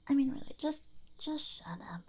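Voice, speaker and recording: A woman voicing a blunt, cheerfully cynical female goblin in an ASMR roleplay, musing and muttering in a creaky, breathy half-whisper. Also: sits high, animated, moderate pace, darkish tone. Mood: blunt, dry.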